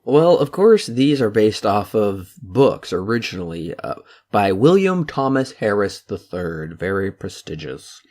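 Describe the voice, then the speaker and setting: A man's voice: fairly low pitch, sing-song, a little slow, darkish tone. A young man co-hosting a podcast in casual chat, talking steadily and reeling off dates and figures. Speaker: podcast co-host.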